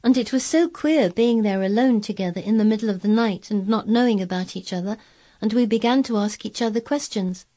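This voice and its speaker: A woman playing a self-possessed young girl, soft-toned. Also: medium pitch, a little fast, natural voice. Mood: earnest, curious. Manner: firm, plain-spoken, earnest.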